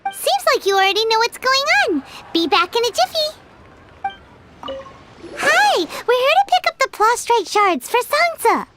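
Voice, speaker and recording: A woman voicing a tiny, excitable floating fairy companion who speaks of herself in the third person, in a high, squeaky, childlike voice for a video game. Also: a little slow, very noisy, heavily acted. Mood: chirpy.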